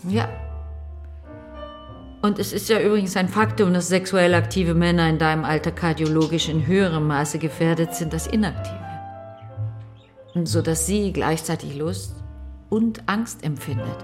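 An actress plays a composed woman who listens closely in a naturalistic radio play. Her voice sits a little low, her replies are measured and matter-of-fact, and music plays behind her. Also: steady tone, close to an everyday voice. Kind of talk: naturalistic radio drama dialogue.

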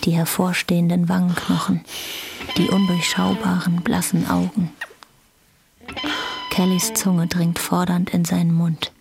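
A woman's voice: lowish voice, completely flat delivery, unhurried, vocal fry, long pauses. A woman reading scripted narration for a German radio drama. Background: guitar music.

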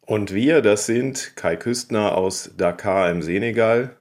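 A man's voice: fairly low pitch, takes it slowly. A man, a correspondent reporting conversationally on a podcast. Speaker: radio correspondent.

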